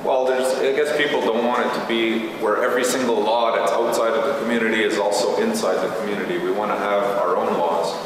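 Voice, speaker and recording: A man giving his opinion at a meeting in a measured, hesitant way. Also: low-pitched. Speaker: meeting participant. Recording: distant, very echoey, noisy room.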